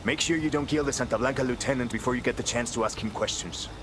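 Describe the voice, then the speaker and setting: A man's voice: mid-range voice, quick pace, lightly acted. A man playing a proud, distrustful rebel leader, formal and accusing. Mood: urgent, stern. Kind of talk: dramatic game cutscene dialogue. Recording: clearly noisy background.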